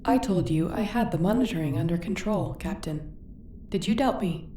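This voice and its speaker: A woman's voice: lowish voice, expressive, normal speed, dark tone, natural voice. Sci-fi ship computer, woman performer: female, literal, dryly loyal, formal diction, distant and roomy sound. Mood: dry, matter-of-fact. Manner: formal, precise wording without contractions. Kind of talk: scripted sci-fi audio roleplay. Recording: roomy, distant, faint background noise.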